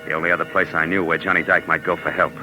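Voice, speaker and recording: An actor playing a tough, cynical, wisecracking private detective in 1940s radio noir style, clipped and sardonic. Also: male voice, low-pitched, flat delivery, racing, lightly acted, low-quality recording. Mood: tense narration. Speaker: lead actor and narrator of a radio detective drama. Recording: old 1940s radio recording with music.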